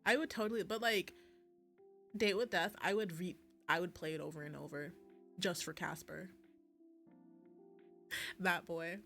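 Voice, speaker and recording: A woman's voice: lowish voice, fairly even intonation, a little slow, vocal fry, pauses often, sharp tone. A woman livestreamer chatting casually while playing visual novels, rambling and gushing and often interrupting herself. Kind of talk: casual chatty stream commentary. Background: background music.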